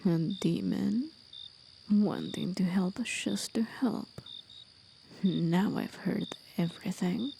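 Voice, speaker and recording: A woman's voice: on the low side, takes it slowly, gravelly, a little hiss or hum. Woman as a muttering, stubborn old angel, whispered. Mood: grumpy, sceptical. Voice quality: hushed, quiet whisper. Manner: curt, dismissive, muttered. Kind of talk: whispered ASMR storytelling.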